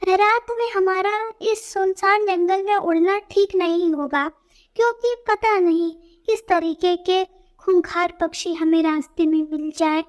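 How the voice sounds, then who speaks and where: Woman as a very high-pitched sensible little cartoon bird. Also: steady tone, a little slow. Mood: cautious.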